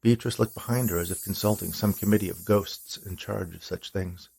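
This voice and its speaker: A man's voice: sits low, a little fast, creaky, a little mellow, husky. A man reading a comic Halloween story aloud as a scripted narrator.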